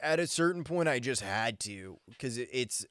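A man chatting casually and informally, in a halting, hesitant way. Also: medium pitch, lively intonation, a bit bright, no echo, clean studio sound. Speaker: prank caller and podcast guest. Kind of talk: casual, hesitant phone chat.

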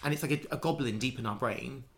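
A man's voice: medium pitch, fast talker. A man in casual conversation, musing at length with many restarts and fillers. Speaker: conversation partner.

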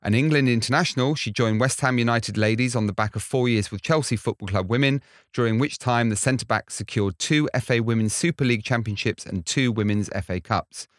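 Man, podcast host, mid-pitched voice, interview style, dry recording. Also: fairly quick, no echo. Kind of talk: scripted introduction, then conversational interviewing.

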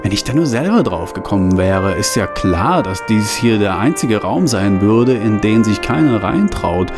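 A man's voice: lowish voice, expressive, normal speed, music in the background, close to an everyday voice. A man doing a boastful, chummy male companion. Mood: jovial. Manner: jovial, boastful.

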